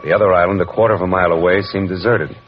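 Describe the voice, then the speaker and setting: A very low-voiced, hard-boiled American private eye played by a male actor, clipped, wry and in command. He narrates like a 1940s radio drama, on a dull old recording with music. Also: steady tone, racing, natural voice. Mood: wry, brooding. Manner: wry, clipped, world-weary.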